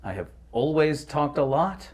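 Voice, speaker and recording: A man's voice: fairly high pitch, animated, a little slow. A man, a voice actor, talking earnestly and articulately as a guest in a casual interview.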